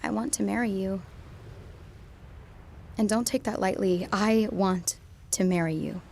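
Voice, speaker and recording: A woman's voice: mid-range voice, unhurried, gravelly, a little hiss or hum. A young woman playing an emotional teenage girl speaking an intimate monologue to her boyfriend in a radio drama. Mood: tender. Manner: intimate monologue, swings from quiet to pleading.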